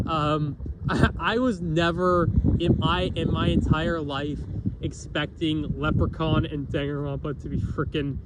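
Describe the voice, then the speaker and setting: A man's voice: a little high, heavy background noise. A man with a nasal voice and a cough, giving a casual spoken review and rambling through many restarts and self-corrections. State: has a cough.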